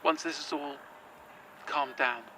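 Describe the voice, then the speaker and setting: A man's voice: on the high side, fairly even intonation, measured pace, noisy background, close to an everyday voice. An actor playing a nervous, earnest young man who stumbles over his words. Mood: worried. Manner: nervous, earnest, hesitant with fillers. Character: anxious, hopeful young man, possessed. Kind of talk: audio drama acting.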